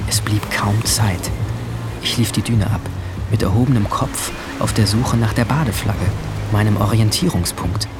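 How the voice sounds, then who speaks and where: A young man plays a seventeen-year-old boy haunted by guilt. The voice sits on the low side. He tells his story in measured, inward-looking short sentences, as literary radio drama, with music strongly present behind him. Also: close to an everyday voice. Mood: anxious. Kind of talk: literary first-person radio drama narration.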